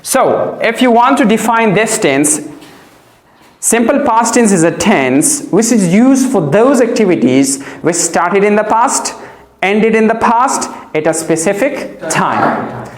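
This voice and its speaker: A man's voice: high voice, animated, slow. A man giving a classroom grammar lesson as a teacher, repeating key phrases and checking that pupils follow. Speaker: English teacher. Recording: echoey classroom.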